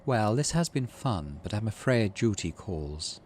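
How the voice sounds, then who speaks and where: A man voicing a polite, mirthful male alien from a game, speaking in formal, measured phrases. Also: fairly low pitch, big pitch swings, a little mellow, slight background noise. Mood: polite, amused.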